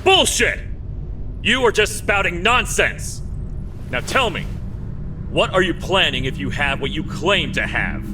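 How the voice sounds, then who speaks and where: Blustering old prison warden, swooping pitch. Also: male voice, very animated, a little slow, long pauses, background noise. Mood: angry, incredulous. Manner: blustering, confrontational, officious. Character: blustering, short-tempered elderly male prison warden. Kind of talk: animated video game cutscene acting. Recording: prominent music under voice. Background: loud music.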